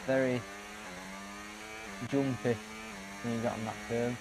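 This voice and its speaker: A man giving casual gameplay commentary in short, low-key remarks. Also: flat delivery, unhurried, background noise. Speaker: gaming video creator.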